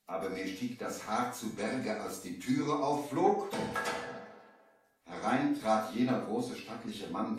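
A man's voice: fairly low pitch, a little mellow. An actor playing a grave traveler who tells of his own ordeal, solemn and theatrical, in a radio play. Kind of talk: dramatic storytelling in a radio play. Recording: echoey distant old vinyl record.

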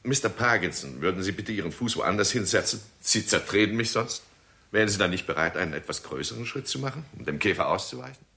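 An actor playing a grave, persuasive male scientist in a 1960s radio drama, emphatic and deliberate. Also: deep voice, animated, gravelly, bright, forceful tone, exaggerated voice. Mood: earnest, persuasive. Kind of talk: 1960s German radio play acting.

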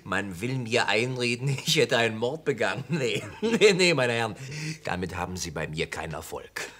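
A man's voice: medium pitch, big pitch swings, normal speed, pushed and bright. An actor playing a middle-aged travelling salesman in a radio play, naive, hearty and self-satisfied. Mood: incredulous amusement. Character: jovial, boastful travelling textile salesman, naive and sentimental. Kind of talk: German radio play acting.

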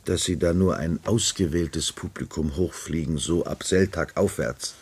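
Weary, low-voiced middle-aged office bureaucrat. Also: male voice, breathy edge, exaggerated voice. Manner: dry, world-weary, grumbling. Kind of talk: 1970s German radio play acting.

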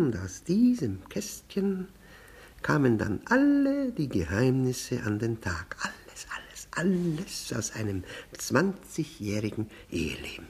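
A mocking, bitter widower in a radio play. His voice is breathy and husky, swinging widely in pitch. He speaks with a theatrical, insinuating courtesy, drawing out words and sinking toward whispers. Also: darkish tone, heavily acted. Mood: brooding, confiding. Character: bitter, mocking, needling widowed man, falsely servile. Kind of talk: German radio play acting.

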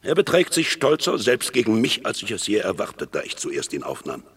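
An actor playing a proud, scheming enemy general in a classical verse radio drama, brooding and measured. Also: male voice, on the low side, wildly swooping pitch, fairly bright tone, husky, heavily acted.

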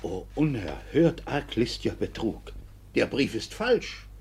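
A man's voice: mid-range voice, sing-song, unhurried, a little mellow, husky, full character voice. A man in a 1950s German radio play acting a stern, authoritative court councillor, formal and commanding. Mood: astonished, earnest.

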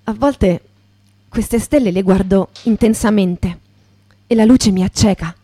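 A woman acting in poetic radio theatre as a wondering, solemn storyteller, with a measured, lyrical delivery. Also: mid-range voice, warm tone, slightly rough. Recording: guitar music behind the voice.

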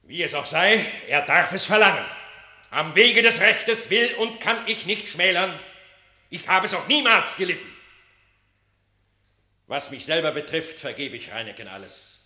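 A man's voice: on the high side, very animated, a little slow, sharp tone, roomy sound, heavily acted. An actor playing a stern, imperious animal king who sits in judgment, declaiming commandingly in a radio drama. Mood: magnanimous, commanding. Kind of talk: classic German radio drama verse acting.

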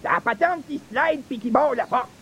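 An actor playing a cranky, folksy old rural grandpa with a Quebec French accent in a radio comedy. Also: male voice, expressive, normal speed, a bit bright. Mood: irritated, complaining. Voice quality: creaky, high-pitched old man's voice.

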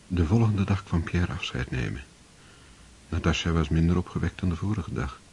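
A man narrating a radio play in a steady, measured storytelling manner. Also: low voice, a little hiss or hum. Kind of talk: scripted narration.

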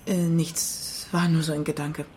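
Anxious lowish-voiced banker's wife. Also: female voice, little pitch movement, darkish tone, slight background noise. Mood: hesitant, evasive. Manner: hesitant, halting. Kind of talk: German crime radio drama acting.

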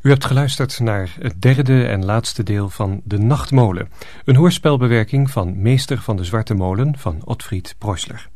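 A man's voice: fairly low pitch, measured pace, darkish tone, dry recording, clean studio sound. A man working as an announcer, reading scripted radio drama credits.

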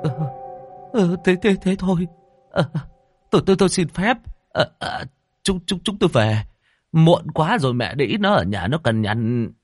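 A man voicing a blustering rich old man who stammers when he gets flustered. He speaks in a high, strained voice. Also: big pitch swings, normal speed, dry recording, soft background music.

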